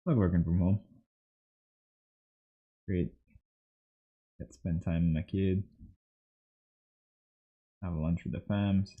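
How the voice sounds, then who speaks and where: A man's voice: low-pitched, steady tone, slow pace, pauses often. A man in his thirties from Trinidad and Tobago, chatting casually and easily on a livestream while he works, in slightly muffled audio. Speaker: livestream host, 3D artist. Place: small indoor home workspace.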